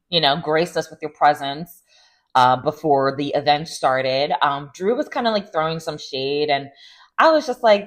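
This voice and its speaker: Woman vlogger, low voice, casual recap style, sassy and animated. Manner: chatty and opinionated, with sarcastic asides. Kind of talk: casual reality TV recap monologue.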